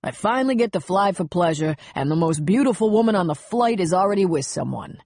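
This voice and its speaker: A woman's voice: fairly low pitch, lively intonation, fairly quick, darkish tone, dry recording, clean studio sound. A woman voicing a smug, brazen male pilot with a slick, cocky New York accent in an audiobook. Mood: smug flirting. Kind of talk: audiobook character dialogue.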